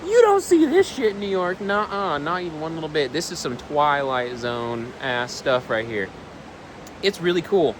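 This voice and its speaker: A twenty-year-old man rambles through a casual vlog with a slightly higher voice, looping back over his own phrases and throwing in swear words. A faint hiss of noise sits under his voice. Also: big pitch swings, moderate pace. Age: 20s. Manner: rambling, repeats himself, swears. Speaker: vlogger. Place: outdoors on a balcony.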